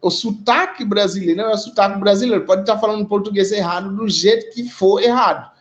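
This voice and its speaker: A Haitian man speaking as a community guest in a live online discussion, talking in a measured, reflective way with frequent pauses and tag questions. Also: high-pitched. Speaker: guest representing an immigrant community.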